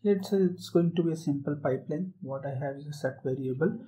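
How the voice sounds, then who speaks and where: A man presenting a software tutorial, explaining a screen-share demo step by step with occasional fillers. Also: fairly high pitch, fast talker, a little hiss or hum, rough recording.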